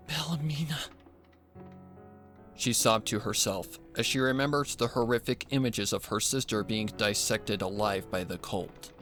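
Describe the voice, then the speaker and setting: A man reading a dark horror story as a dramatic scripted narration. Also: on the low side. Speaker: fan fiction narrator.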